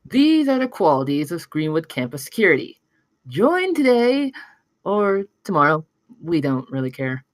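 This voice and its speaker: A woman at a table read voicing an earnest, deadpan announcer for a recruitment video. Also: lowish voice, normal speed, dry recording, clean studio sound. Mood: solemn, deadpan.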